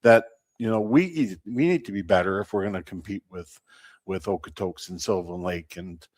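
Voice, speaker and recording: A low-voiced man gives an interview as a baseball team representative. He talks in a measured, halting way, stopping mid-thought before carrying on. The sound is close and dry. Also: a little mellow, no room sound. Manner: measured, halting, often pausing mid-sentence. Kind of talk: unscripted sports interview talk.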